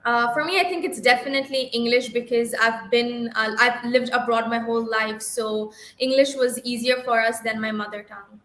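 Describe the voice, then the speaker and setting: A young woman from Bangladesh answers speaking exam practice questions in a bright, somewhat high voice. She talks fluently and catches herself now and then with quick self-corrections. The sound rings with the echo of the room. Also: steady tone. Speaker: IELTS practice candidate. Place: livestream.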